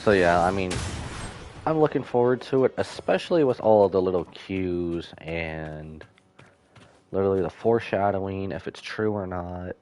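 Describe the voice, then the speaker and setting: A man's voice: sits low, moderate pace, darkish tone. A man giving casual, slangy live commentary as he streams a video game.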